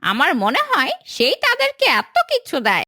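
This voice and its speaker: Shrill, swooping greedy crow. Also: female voice, huge pitch swings, moderate pace, bright, forceful tone, no echo, clean studio sound, exaggerated voice. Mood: conspiratorial. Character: greedy, scheming, excitable crow.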